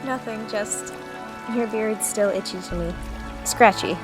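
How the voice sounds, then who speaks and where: A woman's voice: mid-range voice, measured pace, natural voice. Woman as earnest teenage girl diarist, music underneath. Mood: shy, tender. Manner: reflective, confiding. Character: earnest teenage girl surviving a zombie apocalypse. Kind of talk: audio drama acting with diary narration.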